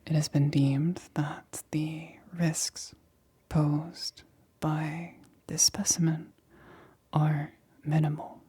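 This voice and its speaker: Woman, ASMR whisper, very breathy, low, careful scientist roleplay, clinical, close dry sound. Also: level tone, warm tone, dry recording. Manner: slow, deliberate, clinical, instructing. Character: careful, excited female scientist examining an alien specimen.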